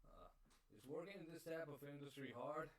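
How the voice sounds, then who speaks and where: A man's voice: on the high side, a little fast, poor audio. A man who works as a voice actor, chatting casually on a panel and putting questions to the others.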